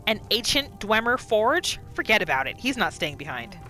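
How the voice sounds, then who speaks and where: Gamer lady doing chatty roleplay commentary, bright voice, really animated, bit of music behind her. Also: medium pitch, moderate pace. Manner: expressive, chatty, narrating characters' thoughts in third person. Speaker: gaming channel host. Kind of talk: casual roleplay game commentary.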